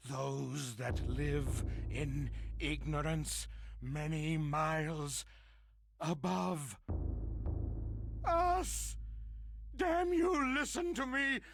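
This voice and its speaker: A man's voice: medium pitch, creaky, noisy background. An actor voicing a tormented, half-mad man raving in horror, in a grandiloquent, theatrical monologue for a horror game. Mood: haunted, hushed dread. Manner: grandiloquent, dramatic, swinging from whisper to shout.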